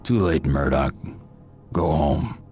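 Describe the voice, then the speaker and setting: A man playing a grim, stoic vigilante antihero in a video game, terse, sardonic and deadpan with a gravelly voice. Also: rumbling low, slow pace, faint music behind. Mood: curt command. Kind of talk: video game cutscene acting.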